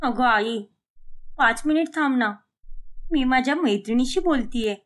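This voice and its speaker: Woman voicing cartoon daughter, young adult, very high-pitched, cheeky, whiny, protesting. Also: measured pace, fairly bright tone. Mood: complaining. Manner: complaining, protesting. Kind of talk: animated moral story dialogue.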